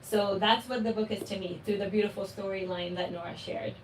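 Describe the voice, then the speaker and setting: A woman's voice: mid-range voice, steady tone, brisk. Enthusiastic young woman in a roomy space. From Palestine. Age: 20s. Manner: enthusiastic, personal. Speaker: moderator. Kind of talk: conversational interview. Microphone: video call. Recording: roomy, distant mic with faint noise.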